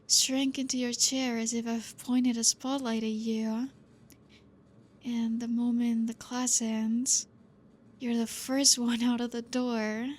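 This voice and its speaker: A woman playing a gentle, teasing, possessive vampire teacher in an intimate ASMR roleplay, speaking softly and breathily in a coaxing way. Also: a little high. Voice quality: soft, breathy.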